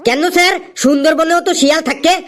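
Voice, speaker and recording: Cartoon schoolboy: very high voice, cheeky and crafty, sing-song classroom recitation. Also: male voice, brisk, a bit bright, faint music behind. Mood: cheeky, eager. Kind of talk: comedy cartoon voice acting.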